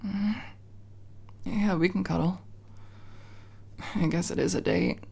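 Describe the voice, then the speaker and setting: A low-voiced, awkward tomboy, acted by a woman in an ASMR roleplay, talking slowly with hesitant pauses. She sounds tired and quietly fond, with a creaky edge to her casual mumbling. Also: animated, heavily acted. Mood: sleepy, tender. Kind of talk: intimate ASMR roleplay acting.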